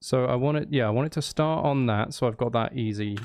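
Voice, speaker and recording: A male streamer talks casually as he works through making music, often breaking off mid-thought to think aloud. His voice sits at an average pitch with a clear creaky fry. Loud drum kit and music play behind him. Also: fairly quick. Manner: hesitant, self-interrupting, thinking aloud. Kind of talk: casual livestream chat while recording drums.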